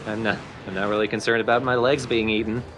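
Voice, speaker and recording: A man's voice: on the low side, flat delivery, fast talker, noisy background. A man co-hosting a let's play with casual, wry, mock-complaining game banter. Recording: game music behind the voice.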